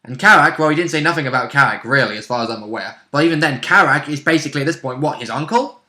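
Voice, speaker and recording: Blunt man with a bright voice. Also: mid-range voice, expressive, fast, roomy sound. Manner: blunt, informal, sweary. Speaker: tabletop game player. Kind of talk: casual chat recapping a tabletop role-playing game.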